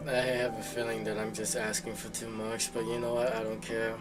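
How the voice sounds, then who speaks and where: A man's voice: on the low side, completely flat delivery, fairly quick, loud music behind the voice. A man streaming a game, reacting casually and emotionally to the story with exclamations. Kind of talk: casual live gameplay reaction.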